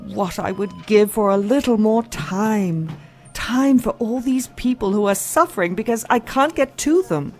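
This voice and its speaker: An actress playing a weary, dedicated and compassionate doctor in an audio drama, earnest and dutiful in manner. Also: female voice, mid-range voice, expressive, a little slow, soft background music. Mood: tired, resigned.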